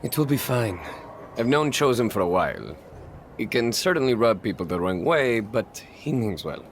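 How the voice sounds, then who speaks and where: A man playing a calm, good-natured lucha libre wrestler in video game cutscene dialogue. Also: mid-range voice, normal speed, slight background noise. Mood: reassuring.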